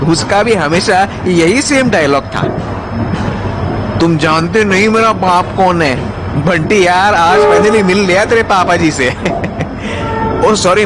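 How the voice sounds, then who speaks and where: A man plays a cheeky young hero of an audio drama. His voice sits slightly high and he talks with sarcastic, quick wit over loud music. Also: poor audio. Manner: sarcastic, mocking. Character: cheeky, quick-witted young male train passenger hero. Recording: loud music bed behind the voice.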